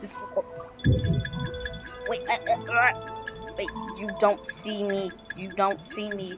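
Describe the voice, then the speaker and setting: A woman's voice: mid-range voice, fairly even intonation, slow, over loud music. A woman doing casual, reactive commentary while playing a video game, on a dull-sounding mic. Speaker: gamer commenting on gameplay.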